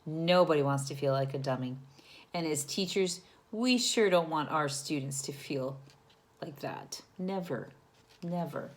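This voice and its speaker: A woman's voice: low voice, a little slow. A woman teacher doing a storytime read-aloud, talking encouragingly and directly to young children and asking them questions.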